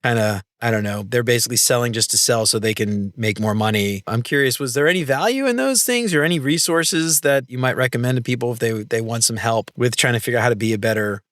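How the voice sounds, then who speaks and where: A man's voice: mid-range voice, animated, fast talker, hardly any pauses, fairly bright tone, dry recording, studio quality. A man hosting a podcast interview, enthusiastic, acting out quoted people in a raised voice. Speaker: podcast host.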